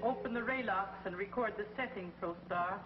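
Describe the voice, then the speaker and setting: An actress playing a power-hungry alien ruler who gives commands and gloats, in 1950s TV sci-fi style, on a dull old soundtrack with loud music. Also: female voice, mid-range voice, moderate pace, lightly acted. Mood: commanding.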